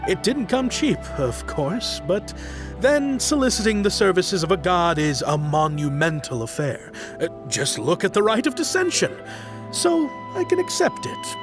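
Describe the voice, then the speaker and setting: A man's voice: fairly high pitch, big pitch swings, unhurried. An actor voicing a chatty male merchant who hesitates with little fillers as he talks. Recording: game audio with music underneath.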